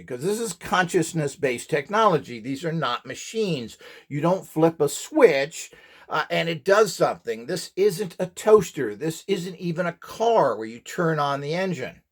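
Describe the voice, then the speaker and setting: A man with a slightly high voice and very lively, swinging intonation gives an informal instructional demonstration. He is blunt and opinionated, pressing his points with emphasis. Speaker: instructor selling courses and devices.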